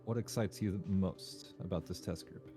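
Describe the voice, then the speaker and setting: Man reads female interviewer, deep, flat, no character voice; music behind. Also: normal speed, lightly acted. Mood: clinical. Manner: flat, unacted script reading. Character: woman interviewer running a test recording.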